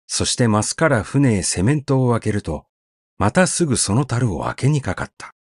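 A man's voice: mid-range voice, big pitch swings, normal speed, no room sound, clean studio sound. A man narrating a short story as a scripted audiobook reading, telling it expressively. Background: faint background music.

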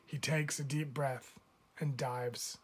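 A man reading a children's picture book aloud like a teacher, with expressive emphasis. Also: medium pitch, slow, breathy edge. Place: indoors, book filmed from above.

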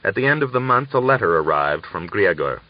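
A man reading a literary novel as an audiobook narrator, in an expressive storytelling style. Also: fairly low pitch, fairly quick. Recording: dull lo-fi audiobook recording.

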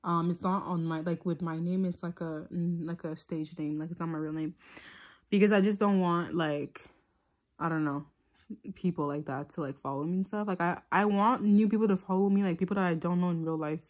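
A young woman from Tanzania chats into a phone held close in a small room, winding through a personal story with endless "like"s and restarts. Her voice sits a little low for a woman, and she speaks in a relaxed, offhand way. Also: a little fast. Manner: rambling, lots of "like" fillers. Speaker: vlogger. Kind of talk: casual storytime chat.

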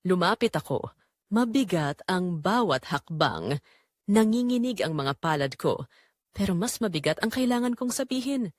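A woman's voice: lowish voice, big pitch swings, normal speed, no echo, clean studio sound. A woman narrating a scripted first-person romantic drama in an emotive, confessional manner. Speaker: storyteller narrating in character.

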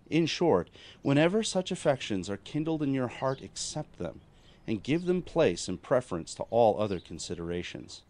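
A man narrating a scripted devotional text of spiritual counsel in a measured, formal, instructive manner. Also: mid-range voice, a little mellow.

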